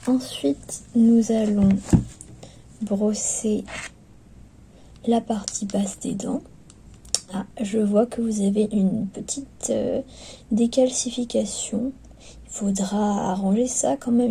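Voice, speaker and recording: A woman speaks softly in an ASMR roleplay as clinic staff, often slipping into a whisper, with gentle, hesitant, attentive patter. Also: medium pitch, vocal fry, husky. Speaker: ASMR performer playing skeleton clinic staff. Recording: lo-fi recording with background noise.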